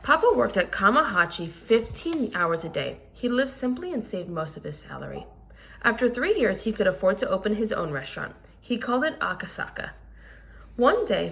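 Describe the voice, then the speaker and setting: A woman reads a children's story aloud in the manner of a teacher. Her pitch moves in an animated way across a middle register. She tells it deliberately, leaning on important words. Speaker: teacher reading a picture book aloud. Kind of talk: expressive children's read-aloud with teaching asides.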